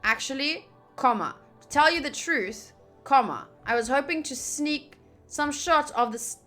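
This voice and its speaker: Female playthrough host, bright and fairly high, chatty live commentary mixed with read-aloud text, music underneath. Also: animated, unhurried. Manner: chatty, addresses viewers, comments between readings. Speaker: streamer playing a visual novel. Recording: game music behind the voice.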